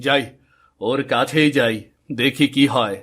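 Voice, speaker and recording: An actor voicing a bitter, self-pitying village farmer in a moral-story cartoon, dramatic and complaining. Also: male voice, medium pitch, a little slow, a bit bright, lightly acted. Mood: resigned, grumbling.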